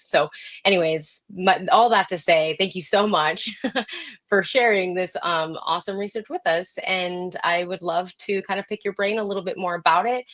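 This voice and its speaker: A woman's voice: on the low side, fairly bright tone. A woman hosting a casual webinar discussion in a chatty way. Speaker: host, moderator.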